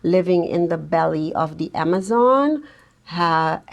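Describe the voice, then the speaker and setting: Middle-aged Surinamese woman, Dutch native, unhurried, slightly low, gravelly. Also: lively intonation, highs cut off. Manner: slow, reflective, thoughtful with frequent pauses. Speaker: guest social worker. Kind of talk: podcast interview.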